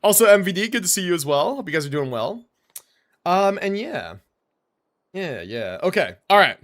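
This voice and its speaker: Higher-voiced man with a lively delivery. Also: normal speed. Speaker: live streamer. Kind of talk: casual chat while livestreaming gameplay.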